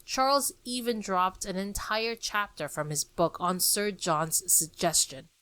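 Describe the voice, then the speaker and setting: A woman podcast host reads a scripted story about art history. Her voice sits fairly low and swings widely in pitch as she explains. The sound is dry and close, with no room echo. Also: fairly bright tone.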